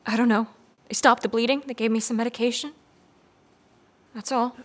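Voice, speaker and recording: A woman's voice: a little high, fairly even intonation, moderate pace, lightly acted. An actress playing a guarded married mother in an audio drama, curt and defensive as she is questioned. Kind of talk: scripted audio drama dialogue.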